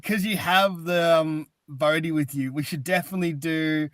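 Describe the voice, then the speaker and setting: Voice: medium pitch, fairly even intonation, moderate pace, a bit bright, dry recording. A podcast host chatting casually in an effusive, sentimental way. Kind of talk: casual chat.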